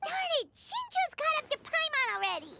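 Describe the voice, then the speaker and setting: A woman voicing a tiny, excitable, childlike fairy companion in a video game, with a squeaky voice. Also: moderate pace, gravelly, a little hiss or hum, exaggerated voice. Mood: frustrated. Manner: speaks of self in third person. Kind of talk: animated video game character acting.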